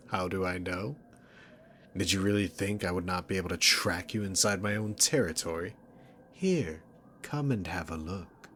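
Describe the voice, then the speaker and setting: A man's voice: low-pitched, a bit bright, slight background noise, exaggerated voice. A man playing a smug, sarcastic, dominant demon king villain in an ASMR roleplay, mocking and condescending as he addresses the listener. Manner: mocking, condescending, unhurried, punctuated by chuckles.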